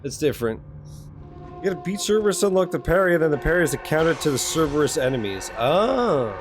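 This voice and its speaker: A man with a slightly high voice chats like a live streamer. He is blunt, slangy and profane, with clear game music playing behind him. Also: sing-song, fast. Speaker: streamer reacting to game cutscenes. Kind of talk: casual live-stream chat.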